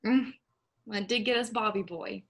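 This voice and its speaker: A woman reading the part of Candace, a caring farm wife who coaxes gently, with a Kentucky accent, in a staged play reading. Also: medium pitch, normal speed, gravelly. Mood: warm. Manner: gentle, coaxing.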